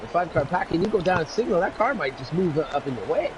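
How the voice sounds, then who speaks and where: A man's voice: a little high, big pitch swings, fast talker. Chatty man, noisy recording. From Canada. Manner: chatty, laughs easily. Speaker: sim racing co-commentator. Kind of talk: casual race commentary.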